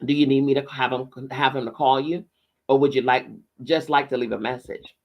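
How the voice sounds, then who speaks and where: A man's voice: mid-range voice, moderate pace, lo-fi sound, close to an everyday voice. A man voicing a matronly, gossipy small-town postmistress with folksy, bantering talk in a read-aloud story. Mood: chatty, helpful.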